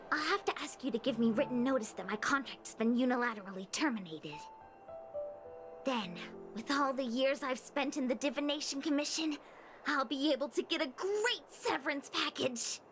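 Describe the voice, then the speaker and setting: A woman playing a spirited, expressive young female diviner in a video game, earnest and loyal. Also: high-pitched, normal speed, music in the background. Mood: cheeky.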